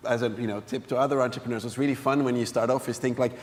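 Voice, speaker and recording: Quick-talking man from Finland, game entrepreneur, onstage answers, echoing hall. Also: mid-range voice, fairly even intonation. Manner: fast, earnest, many restarts. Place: conference hall stage. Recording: long hall reverb.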